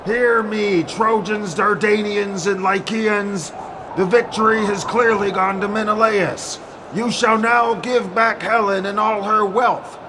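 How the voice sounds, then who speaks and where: A man's voice: high-pitched, steady tone, takes it slowly, background noise, voice pushed up. A man playing a commanding ancient Greek warrior king, roaring a formal proclamation in an epic audio drama. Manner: roaring, declamatory.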